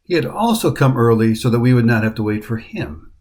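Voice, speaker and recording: Middle-aged American man, mid-pitched, scripted hiking voice-over, dry wit. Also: expressive, fairly quick, a little mellow. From United States. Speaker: hiking vlogger. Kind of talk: scripted travel narration.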